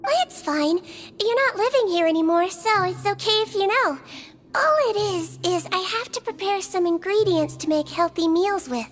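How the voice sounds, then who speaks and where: Game nurse, small and sweet: tiny, very high voice, earnest and gently persistent, music underneath. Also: female voice, expressive, moderate pace. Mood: gentle, confiding. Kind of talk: scripted video game dialogue.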